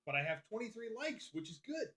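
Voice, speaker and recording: A man's voice: high-pitched, very animated, a little fast, pushed and bright. Profane, chatty man hosting a livestream. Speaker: livestream host. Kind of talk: casual live-stream chat, reading out viewer comments.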